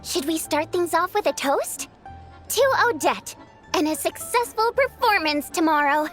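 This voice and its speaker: A very high, animated young woman's voice, playful and pushy. She teases theatrically, with lively swoops in pitch and music playing behind her. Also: measured pace, full character voice. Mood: playful, teasing. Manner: teasing, theatrical. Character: playful, pushy, bubbly young female performer. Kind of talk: video game cutscene acting.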